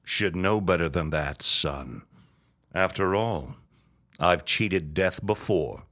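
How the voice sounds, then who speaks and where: An actor playing a dying, bitter old reverend in a period audio drama, raspy and strained, grandly accusing. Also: male voice, low voice. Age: older. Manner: slow, forceful, grandly accusing. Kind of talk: period radio drama acting.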